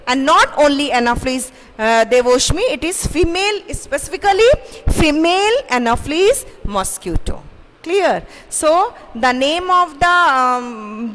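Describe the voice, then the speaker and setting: A young woman teacher with a Bengali English accent, giving an online science class to children, halting with many fillers and putting questions to pupils. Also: sits high, fairly bright tone. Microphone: microphone in front of her. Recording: roomy reverb, faint hiss.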